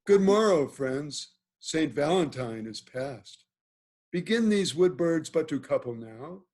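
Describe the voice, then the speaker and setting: A man's voice: fairly high pitch, expressive, slow, darkish tone. A man playing a noble, commanding duke in a Shakespeare table read, speaking formal, declamatory verse. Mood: genial, questioning. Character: noble duke, commanding and at ease, ordering his hunt. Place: video call.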